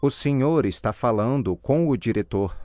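A man giving scripted model answers in a language drill with careful, deliberate enunciation. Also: medium pitch, animated, noisy background. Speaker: language course model speaker.

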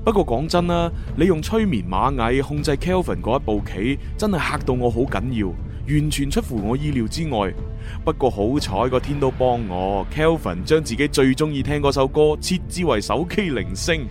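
A man's voice: medium pitch, expressive, moderate pace, music in the background, close to an everyday voice. A man with a Hong Kong Cantonese accent acting a boastful, crafty senior agent from the future in a sci-fi radio drama. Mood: candid, pleased.

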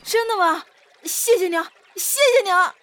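A woman's voice: very high-pitched, unhurried, a bit bright, slight background noise. A woman playing a childlike, naive and devoted girl in a Chinese costume audio drama, artless and pleading, calling herself by name. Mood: overjoyed.

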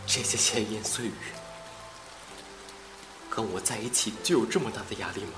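A man's voice: lowish voice, normal speed, roomy sound, over music, lightly acted. An actor playing a devoted, earnest young man in love in an amateur Chinese audio drama. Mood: anguished, determined.

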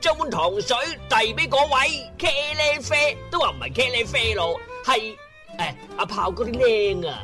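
A man narrating a comedy radio drama in an animated, conversational storytelling manner with playful asides. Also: extremely high pitch, normal speed. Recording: narration over music.

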